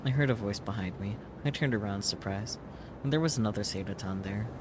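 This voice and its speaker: A man's voice: on the low side, fast, noisy background. A man streaming a visual novel, reading the game's text aloud as dramatic narration. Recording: loud music behind the voice.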